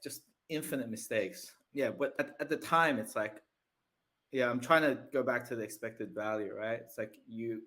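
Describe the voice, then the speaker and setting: A man speaks as a guest in a relaxed interview, his voice sitting a little low. He talks in a loose, conversational way and often tags his points with a quick question. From Australia. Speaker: podcast guest. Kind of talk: casual interview talk.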